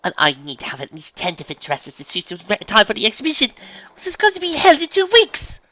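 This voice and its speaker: Man putting on a dramatic, high-swooping lady unicorn. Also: wildly swooping pitch, fast, bright, forceful tone, dull audio, heavily acted. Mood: businesslike, pleading. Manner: prim, effusive, theatrical. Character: refined, excitable, dramatic female unicorn dressmaker.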